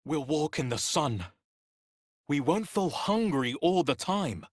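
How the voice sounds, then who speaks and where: A man's voice: a little high, animated, slow pace, no room sound, studio quality. An actor voicing a scornful, bitter male vampire spawn in a dramatic video game style, sneering in clipped sentences. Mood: grimly insistent.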